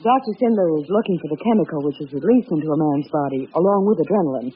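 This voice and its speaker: An actor playing a poised research scientist in a vintage radio drama. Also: male voice, a little high, expressive, fast, husky. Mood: composed, explanatory. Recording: tinny old radio recording.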